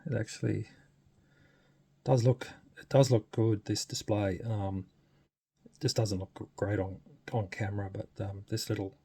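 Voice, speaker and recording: A man giving a casual, unscripted hands-on demo as a hobbyist, thinking aloud in a hesitant, understated way with a husky, gravelly voice. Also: fairly low pitch, mellow. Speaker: radio hobbyist presenting a modification demo.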